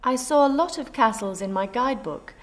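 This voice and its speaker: A woman's voice: a little high, expressive, fairly quick, close to an everyday voice. An actress playing a polite, curious female tourist asking a local about sights. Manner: polite questioning.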